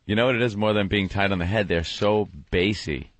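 A man's voice: on the low side, a little fast, no echo. A man co-hosting a radio show, making dry remarks in casual banter. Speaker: radio co-host.

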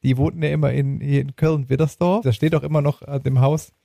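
Male podcast co-host, gravelly and dry-sounding, loose colloquial chat with repeated phrases. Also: on the high side, flat delivery, a little fast, dark tone, no echo. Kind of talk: casual chat.

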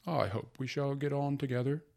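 A man's voice: medium pitch, steady tone, normal speed, vocal fry, a little mellow, voice pushed up, natural voice. A man voicing a pompous young male tutor who speaks with self-satisfaction.

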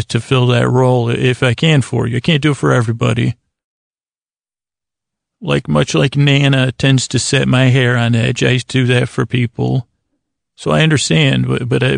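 A man hosting a bedtime podcast in a halting, meandering monologue, repeating himself, with a gravelly voice close to the microphone. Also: medium pitch, no echo. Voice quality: gravelly, creaky. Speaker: podcast host. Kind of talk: rambling bedtime monologue to help listeners sleep.

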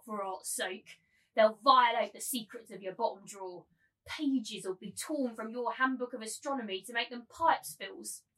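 A woman's voice: a little high, fairly bright tone, natural voice, poor audio. A woman acting a mocking, bullying brothel madam in a dramatised novel reading, with a cutting, taunting delivery. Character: scornful bullying brothel madam turned mannish tyrant.

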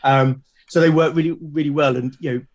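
A British man talking casually as an interview guest, explaining things haltingly and often repeating his words. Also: on the high side, fairly even intonation. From Britain. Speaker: podcast guest, game master and podcast creator. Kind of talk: casual conversational interview talk.

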